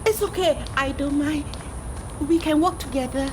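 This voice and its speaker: A woman's voice: sits high, moderate pace, noisy background, natural voice. A woman playing an eager, chatty single young neighbour in an amateur radio play, with a Singaporean accent. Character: eager, chatty unmarried young female neighbour, hinting at romance.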